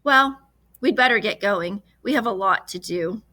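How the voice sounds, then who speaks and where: Woman reading as an eager, bright-voiced storybook boy. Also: medium pitch, moderate pace. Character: eager, upbeat young boy adventurer in a storybook.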